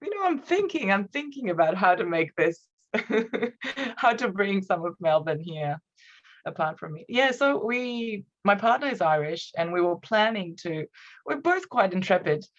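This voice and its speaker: A woman's voice: medium pitch, sing-song, a little slow, dull audio. A woman in her thirties with a Romanian accent, a native Romanian speaker, talking as a podcast guest in a reflective, hesitant way with frequent laughs. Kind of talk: conversational interview.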